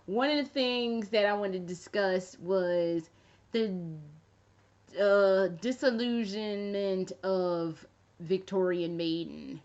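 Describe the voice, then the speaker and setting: A woman's voice: medium pitch, slow pace, darkish tone. Profane rambling woman vlogger. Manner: rambling, profane, with jokey asides. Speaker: fashion vlogger. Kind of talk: casual chat to camera. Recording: dull lo-fi recording.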